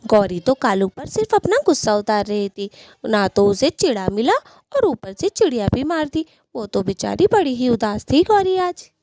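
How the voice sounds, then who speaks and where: A female storyteller with a mellow, softly rounded voice at a middle pitch. She narrates a scripted cartoon tale, recorded dry and close. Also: sing-song, normal speed, no room sound.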